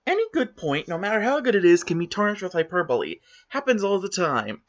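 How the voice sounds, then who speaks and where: A man's voice: high-pitched, very animated, a little fast, muffled recording. A man giving a dramatic reading of online forum comments, with exaggerated, indignant, theatrical emphasis. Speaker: reader of forum posts.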